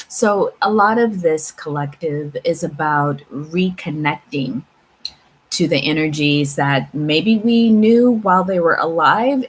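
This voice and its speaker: A woman hosting a tarot channel, talking casually and reflectively to viewers about spiritual matters, with halting pauses and repeats. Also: low voice, low-quality recording. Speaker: tarot reader and channel host. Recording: clear steady background room noise.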